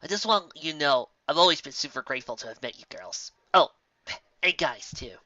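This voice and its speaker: A woman's voice: low voice, expressive, brisk, bright, forceful tone, no echo, dull audio. A woman voicing a confident, sporty young female pegasus in a storybook reading, casual and cocky.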